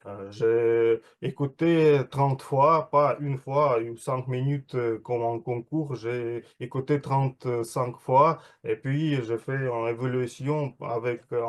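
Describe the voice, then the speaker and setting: A man's voice: mid-range voice, darkish tone. A man giving a spoken evaluation at an online speaking club, hesitant with many fillers. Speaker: meeting evaluator.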